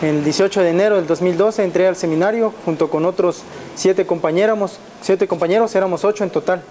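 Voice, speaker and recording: Mexican seminarian in his 20s, kinda high mellow voice, lots of ehs, bit of hiss. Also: brisk. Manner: hesitant, many fillers and restarts. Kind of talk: personal testimony.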